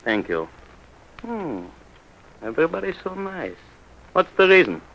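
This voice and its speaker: A wry, exasperated middle-aged immigrant father, pitched a little high for a man. He grumbles and repeats himself in old-country phrasing, in the manner of 1940s radio comedy. The recording is muffled and vintage. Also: big pitch swings, a little slow, fairly bright tone, slight background noise, full character voice. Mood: dry, suspicious. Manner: wry, repetitive, inverted old-world phrasing.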